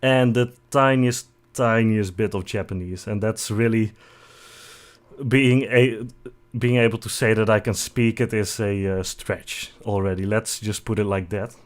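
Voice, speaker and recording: Young dutch dude streaming, kinda low voice, lots of uhs and ums, joking about himself. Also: male voice, steady tone, a little slow. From Netherlands. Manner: rambling, filler-heavy, self-deprecating humor. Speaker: VTuber streamer. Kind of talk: casual livestream self-introduction.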